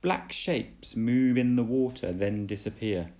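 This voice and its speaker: A man voicing an excitable, easily upset male steam engine in a children's storybook, with a dramatic delivery. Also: mid-range voice, measured pace, close to an everyday voice. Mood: mysterious.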